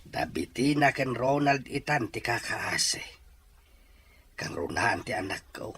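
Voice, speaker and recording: A man's voice: mid-range voice, lively intonation, measured pace, fairly bright tone, slightly rough, exaggerated voice. A man with a Filipino accent playing an earnest, middle-aged widowed father in an Ilocano radio-style drama. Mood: worried. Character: worried widowed father, stern and plain-spoken. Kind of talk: Ilocano audio drama acting.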